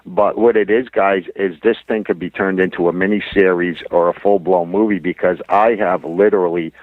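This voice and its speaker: A man's voice: low-pitched, steady tone, moderate pace, no room sound. A man speaking as a guest researcher in a radio interview, telling his story earnestly and informally. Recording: dull, lo-fi dry radio sound.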